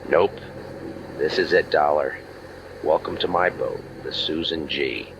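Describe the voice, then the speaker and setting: An actor playing a world-weary, wisecracking private eye in a deadpan old-time radio detective style. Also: male voice, very deep voice, unhurried, noisy background. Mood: dry, sardonic. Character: cynical, world-weary hardboiled male waterfront private eye.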